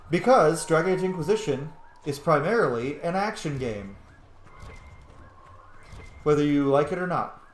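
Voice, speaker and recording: A man's voice: a little high, animated, moderate pace, pauses often, roomy sound, slight background noise. A man giving casual, rambling let's play commentary as he games, with informal language and some swearing. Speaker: gaming video host.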